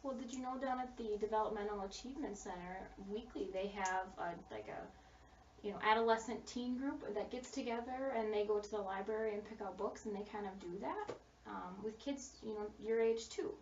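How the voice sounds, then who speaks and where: A woman acting as a school social worker in a counseling role-play, speaking gently and reassuringly with frequent fillers and affirmations. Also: mid-range voice, a little fast, slight background noise. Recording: distant, roomy, dull recording.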